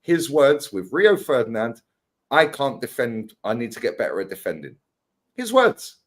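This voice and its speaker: Lively, teasing man, pitch all over. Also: moderate pace. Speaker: football panel host. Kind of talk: casual banter on a fan panel.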